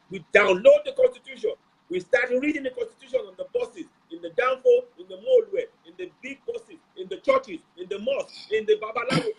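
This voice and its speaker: A man hosting an online talk, speaking in an animated, emphatic way and often repeating phrases. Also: very high voice, gravelly, slightly rough, low-quality recording. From Nigeria. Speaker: host. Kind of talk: passionate civic talk.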